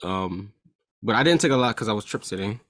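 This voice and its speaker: A man's voice: on the low side, expressive, fairly quick, fairly bright tone, no echo, clean studio sound. A young man co-hosting a casual podcast, joking and swearing freely. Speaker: podcast co-host.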